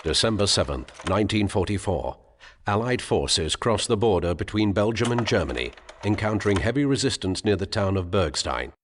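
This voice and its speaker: Guy doing solemn war game narrator, low voice, formal n measured, big reverb, noisy background. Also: animated, natural voice. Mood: grave, measured. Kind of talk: scripted documentary-style war narration.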